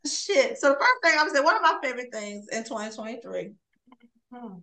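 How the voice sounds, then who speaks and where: A woman in her forties co-hosting a podcast, chatting casually in a laid-back, drawn-out way. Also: high-pitched, very animated, bright, forceful tone, roomy sound. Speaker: podcast co-host. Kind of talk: casual chat.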